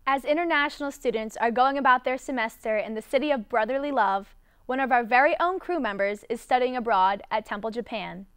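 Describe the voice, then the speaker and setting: A young woman hosting from a studio, reading a scripted introduction in a bright, clear presenting manner. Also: a little high, fairly quick, no room sound. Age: young adult. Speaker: studio host. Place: indoor studio.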